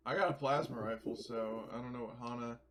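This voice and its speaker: A man's voice: mid-range voice, brisk, a crowd in the background. A man chatting casually and jokingly as a player in a tabletop game, with faint noise behind him.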